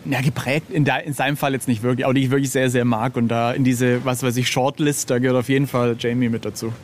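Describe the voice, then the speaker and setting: A man's voice: mid-range voice, flat delivery, quick pace. A man speaking as an illustrator guest in a casual interview, informal, irreverent and jokey.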